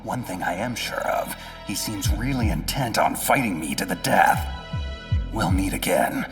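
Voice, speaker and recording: An actor playing a gruff, cynical infiltration soldier with terse, sardonic delivery in a video game drama. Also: male voice, on the low side, lively intonation, measured pace, far from the mic, over music. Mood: guarded, probing. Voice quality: gravelly, breathy undertone.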